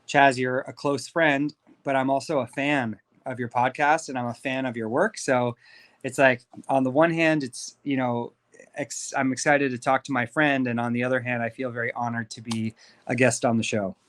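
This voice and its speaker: Guy around thirty from LA, upbeat and open, chatting as a podcast guest, mid pitch. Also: fairly even intonation, normal speed, fairly bright tone. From Los Angeles, USA. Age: 30s. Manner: upbeat, candid, talks freely with fillers. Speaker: podcast guest, life coach. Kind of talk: casual interview storytelling.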